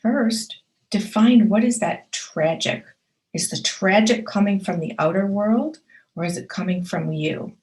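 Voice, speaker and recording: A woman's voice: medium pitch, unhurried, darkish tone. A woman hosting her own show and giving a motivational talk. She speaks earnestly and emphatically, stacking short repeated affirmations and addressing the listener directly.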